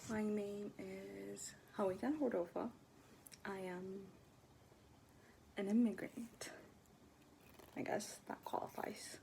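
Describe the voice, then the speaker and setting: A woman in her twenties from Ethiopia talking casually to her camera, soft-spoken and hesitant, often dropping to near-whispers. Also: mid-range voice, steady tone, slow, creaky, long pauses, slight background noise, low-quality recording. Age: 20s. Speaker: vlogger. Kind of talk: casual personal talk to camera.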